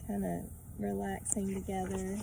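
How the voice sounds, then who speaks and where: A woman's voice: medium pitch, completely flat delivery, unhurried, vocal fry, background noise. A woman reading a picture book aloud to children, with casual asides and simple questions to the listener.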